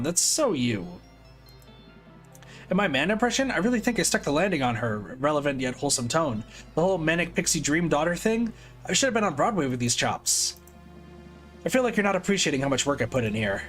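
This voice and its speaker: A man's voice: fairly high pitch, very animated, fairly quick, a bit bright, faint music behind, pitched above the performer's own voice. A man voicing a smug, manipulative male villain who taunts with theatrical mockery. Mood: taunting. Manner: mocking and theatrical.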